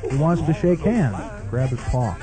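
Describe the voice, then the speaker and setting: A man's voice: mid-range voice, expressive, normal speed, music in the background, natural voice. A man playing a laid-back, obliging bartender in a comic horror radio play, matter-of-fact in manner. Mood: easygoing.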